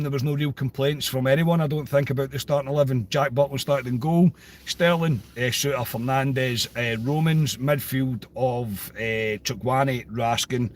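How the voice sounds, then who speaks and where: A Scottish man hosting a casual football podcast, his voice a bit croaky, chatty and repeating phrases for emphasis. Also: medium pitch, a little hiss or hum. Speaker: podcast host.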